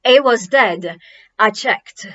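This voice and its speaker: A woman's voice: fairly high pitch, slow, bright, forceful tone, highs cut off, heavily acted. A woman voicing a solemn male Roman centurion in a storybook, firm and certain in short, clipped statements.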